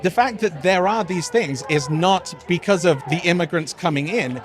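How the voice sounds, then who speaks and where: Higher-voiced man arguing earnestly, outdoors. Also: normal speed. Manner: earnest, explanatory. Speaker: protest interviewee. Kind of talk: casual political argument. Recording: outdoor street with crowd noise.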